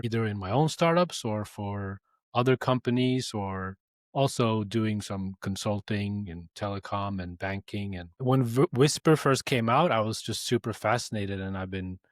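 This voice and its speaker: A man answering interview questions as a company co-founder, relaxed and measured. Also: fairly low pitch, no echo, clean studio sound. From Norway.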